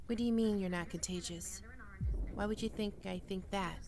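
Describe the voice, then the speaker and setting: A woman's voice: mid-range voice, fairly even intonation, moderate pace, a little mellow, slight background noise, natural voice. A woman playing a skittish, defensive young catgirl roommate in an ASMR roleplay, stammering and prickly to hide her fear. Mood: flustered, defensive.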